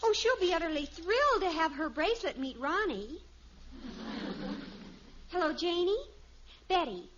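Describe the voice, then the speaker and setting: An actress playing a lovestruck, dramatic teenage daughter in a 1950s radio sitcom, with a gushing, theatrical manner. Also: female voice, very high-pitched, very animated, measured pace, long pauses, full character voice. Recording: muffled, hissy old radio recording.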